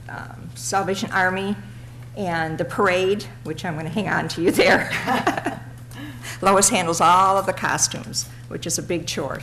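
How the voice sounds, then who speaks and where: A woman with a raspy voice chairing a city commission meeting in a friendly, chatty way. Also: lowish voice, fairly bright tone. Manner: friendly, chatty, laughs between remarks. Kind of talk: chairing a public meeting, casual remarks. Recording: noisy public meeting room recording.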